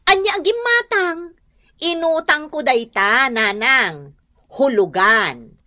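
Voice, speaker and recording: A woman's voice: high voice, lively intonation, takes it slowly, pushed and bright, full character voice. A woman playing a headstrong, showy wife in an Ilocano radio comedy drama, insistent and defensive, with an Ilocano accent. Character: headstrong, showy wife who spends on credit.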